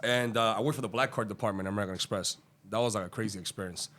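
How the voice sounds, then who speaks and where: A man's voice: fairly low pitch, fairly even intonation, a bit bright. A man from Cuba, a guest on a podcast, chatting casually about his career, swearing freely and rambling with many fillers. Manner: profane, rambling, talks fast with lots of fillers. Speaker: guest, day trader.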